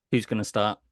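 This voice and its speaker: A man's voice: no room sound, studio quality. A man co-hosting a podcast in casual, jokey banter, laughing often and nudging the group to begin. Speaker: podcast co-host.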